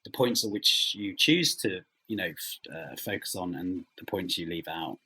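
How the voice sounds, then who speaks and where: Low-voiced man with a slightly husky edge. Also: animated, moderate pace, sharp tone. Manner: modest, self-effacing. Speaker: interview guest, audio drama writer. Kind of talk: conversational interview answers.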